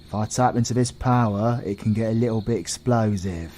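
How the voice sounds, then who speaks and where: A man plays a sardonic British supervillain in an audio roleplay. His voice sits on the low side and he talks in blunt, cocky, sweary banter. There is faint noise behind him. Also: fairly quick, dark tone, lightly acted. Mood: alarmed, defiant. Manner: blunt, sarcastic, colloquial banter.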